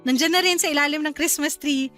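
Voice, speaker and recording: A woman playing a cheerful young wife and new mother in a radio drama, talking in a bright, chatty and eager way. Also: high voice, fairly quick, soft background music.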